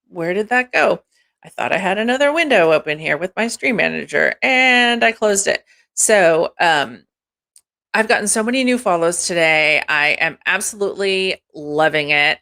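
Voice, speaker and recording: A woman hosting a casual livestream with a deep, husky voice, congested from allergies, chatty and casually swearing while she thanks viewers by name. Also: fairly bright tone. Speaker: streamer host.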